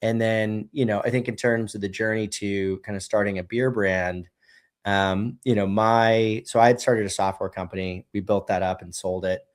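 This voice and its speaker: A man's voice: fairly low pitch, fairly even intonation, moderate pace, clear, resonant tone. A man in his thirties, a brewery co-founder and podcast guest, telling a loose, casual story full of "you know" and "right?".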